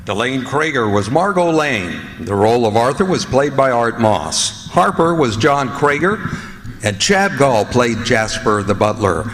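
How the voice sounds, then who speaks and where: Animated male radio announcer in a hall. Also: mid-range voice, measured pace. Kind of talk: old-time radio announcing, scripted credits and sponsor read. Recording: hall with long reverb.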